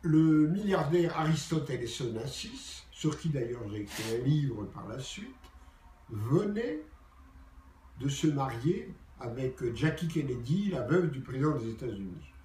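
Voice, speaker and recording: A man's voice: medium pitch, sing-song, unhurried, mellow. An older man, a journalist, telling anecdotes from his career in a theatrical storytelling manner. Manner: theatrical storyteller, acts out dialogue. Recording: roomy, distant mic, faint background noise.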